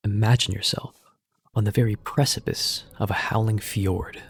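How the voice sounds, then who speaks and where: A man's voice: lowish voice, fairly even intonation, moderate pace, creaky, slight background noise. Wry young man giving a speech. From United States. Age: young adult. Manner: casual and wry, slipping into slang and mock-dramatic asides. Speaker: student giving a class speech. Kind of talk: recorded speech, scripted but jokey.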